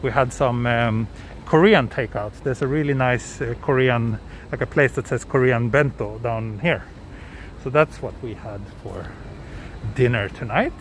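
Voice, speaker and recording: A man from southern Sweden chatting casually with viewers during a live walk, relaxed, with many ums and restarts. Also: medium pitch, measured pace. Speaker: live-streaming walk vlogger. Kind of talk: casual live-stream chat answering viewers. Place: outdoors on city streets at night. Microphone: handheld phone camera. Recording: street noise behind the voice. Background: traffic and street ambience.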